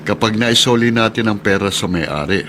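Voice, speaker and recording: A man's voice: fairly low pitch, normal speed, natural voice. A man playing an honest, reassuring taxi driver and father figure in a natural radio-drama style. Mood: calm, reassuring. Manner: reassuring, calm. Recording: clear vehicle noise behind voice. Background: vehicle ambience.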